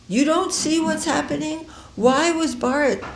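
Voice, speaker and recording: Sly high-voiced maidservant put on by a woman storyteller, insinuating and coaxing, in a roomy echo. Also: a little slow, slight background noise. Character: scheming female servant whispering poison to a queen. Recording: echoey room.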